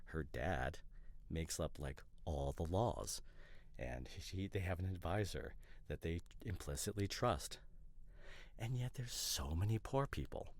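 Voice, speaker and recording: Very deep, gravelly, rambling boyfriend played by a man. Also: animated, a little slow, full character voice. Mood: earnest, argumentative. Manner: rambling, hesitant, argues with mock seriousness. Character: opinionated, teasing boyfriend debating couple's costume ideas. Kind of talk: ASMR boyfriend roleplay, casual one-sided chat.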